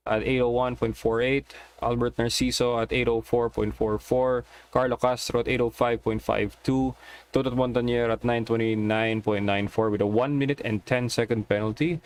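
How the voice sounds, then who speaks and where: A man hosting a sim rally stream, briskly reading out results and stage notes. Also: medium pitch, clear, resonant tone. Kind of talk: live esports commentary.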